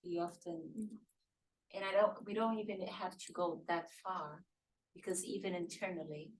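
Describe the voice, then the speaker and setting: A woman's voice: fairly low pitch, moderate pace, rough recording. A woman facilitating a security training workshop, talking things through and repeating words as she builds a point. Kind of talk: interactive training discussion.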